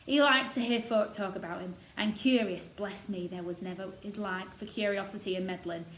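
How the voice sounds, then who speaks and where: A woman's voice: medium pitch, steady tone, normal speed, fairly bright tone, close to an everyday voice. Storybook role: blunt old Yorkshire gardener, rustic dialect, played by a woman, echoey room. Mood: amused, fond. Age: older. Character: gruff old Yorkshire male gardener, blunt, fond of robins. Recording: roomy echo, noticeable background noise.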